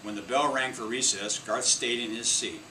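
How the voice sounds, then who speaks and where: A man reading a children's story aloud in an expressive storytelling style. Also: medium pitch, moderate pace. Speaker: storybook reader. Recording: distant mic, clear air-conditioning hum.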